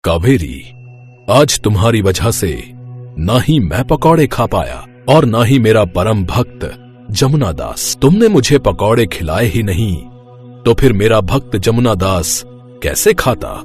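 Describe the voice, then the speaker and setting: A man's voice: lowish voice, animated, unhurried, soft background music. A man voicing a solemn, displeased god who speaks with grave reproach in a devotional story. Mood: solemn, reproachful.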